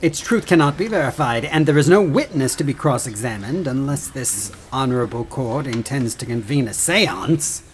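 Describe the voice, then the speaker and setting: An actor playing a formal, insistent government attorney arguing in an old-time radio courtroom drama. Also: male voice, medium pitch, expressive, normal speed, hardly any pauses, lightly acted. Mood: insistent, dismissive. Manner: formal, argumentative. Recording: faint background noise.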